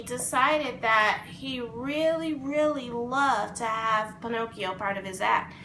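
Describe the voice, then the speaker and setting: A woman telling a children's fairy tale in an expressive, dramatic, improvised way. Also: high voice. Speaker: storyteller. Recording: roomy echo, faint background noise.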